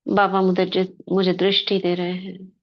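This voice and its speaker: A woman guides a spiritual meditation in a fairly low, very even voice. She speaks very slowly, in short devotional phrases separated by pauses. The recording is slightly dull and lo-fi. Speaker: meditation leader.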